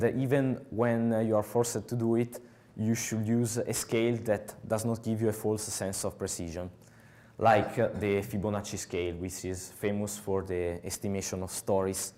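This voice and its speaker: Young Italian-accented male speaker, slightly low voice, halting conference-talk delivery on a headset mic. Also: flat delivery, mellow. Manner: halting, many filler uhs. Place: large conference hall with audience.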